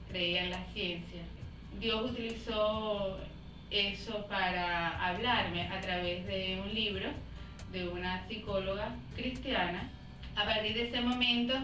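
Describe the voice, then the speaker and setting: Woman's testimony over music. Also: mid-range voice. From Cuba. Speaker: psychologist giving testimony. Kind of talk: personal testimony. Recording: echoey, distant, with clear music behind.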